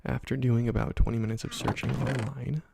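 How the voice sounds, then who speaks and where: Soft-spoken young man, gravelly whisper. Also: medium pitch, fairly even intonation, heavy background noise. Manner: soft, slow, often whispered, with frequent ums. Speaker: gaming ASMR channel host. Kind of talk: soft-spoken ASMR gameplay commentary.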